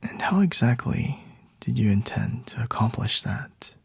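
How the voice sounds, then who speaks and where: A man voicing a smug, flirty vampire lord in an intimate ASMR roleplay, breathy and husky, often whispering, with a teasing, condescending, refined manner. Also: medium pitch, expressive, measured pace, dry recording, heavily acted. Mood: mocking, amused.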